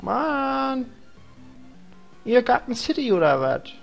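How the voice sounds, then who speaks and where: A man's voice: extremely high pitch, big pitch swings, takes it slowly, creaky, highs cut off, faint music behind. A young man with a cold, casually rambling and joking to himself while commentating a video game. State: has a cold. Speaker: let's play host.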